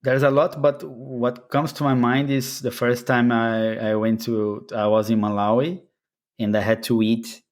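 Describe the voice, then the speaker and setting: A man's voice: medium pitch, little pitch movement, a little slow. A man from Brazil with a Brazilian Portuguese accent, a podcast guest answering questions in casual chat, often restarting phrases and laughing as he tells stories. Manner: hesitant restarts, laughs while telling anecdotes.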